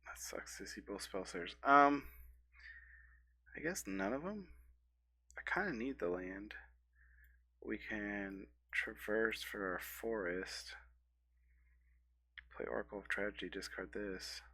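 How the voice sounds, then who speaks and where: Stuffy, gravelly man thinking aloud. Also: on the low side, slow, long pauses, pushed and bright, no echo. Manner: rambling, thinking aloud with fillers and self-corrections. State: scratchy and stuffy voice from a cold. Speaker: gameplay streamer. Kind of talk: casual gameplay commentary.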